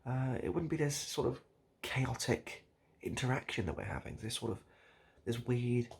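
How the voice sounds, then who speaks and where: A man improvising a comic monologue straight to the listener, halting and repeating himself in a mock-earnest, absurd way. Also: medium pitch, animated, vocal fry, husky. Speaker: online video host.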